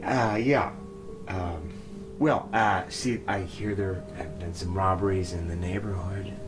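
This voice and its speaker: A man's voice: sits low, steady tone, over music. An actor playing a desperate disgraced company boss, a man who halts and pleads, in an audio drama. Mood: tense, evasive. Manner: halting, stammering, pleading.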